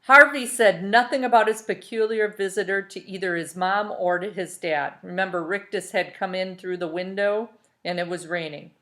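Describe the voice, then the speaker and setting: A woman's voice: mid-range voice, normal speed, pushed and bright. Woman teacher reading a story aloud. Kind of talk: expressive storybook read-aloud.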